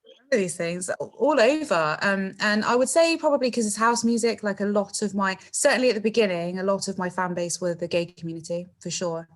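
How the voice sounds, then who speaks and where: A woman's voice: mid-range voice, a little fast. A middle-aged woman, a singer chatting as a podcast guest, laughing often and padding her talk with fillers and tag questions. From England. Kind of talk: casual interview chat.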